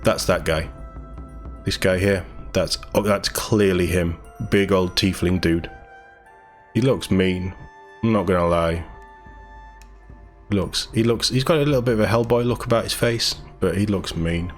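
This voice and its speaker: Male gaming news host, low voice, casual and enthusiastic read-through with commentary, music underneath. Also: unhurried, long pauses. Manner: chatty, informal, enthusiastic. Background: background music.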